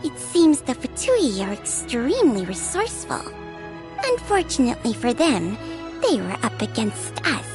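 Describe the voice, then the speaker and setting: An actress voicing a small, eager, childlike companion in a video game, chirpy and emphatic. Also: female voice, a little high, big pitch swings, normal speed. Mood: smug. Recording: background music under the voice.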